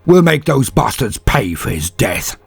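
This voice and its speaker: A man voicing a gruff male executive officer who barks and snaps orders. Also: medium pitch, sing-song, a little slow, no room sound, faint music behind, pitched above the performer's own voice, heavily acted. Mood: barking. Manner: barking, snapping orders.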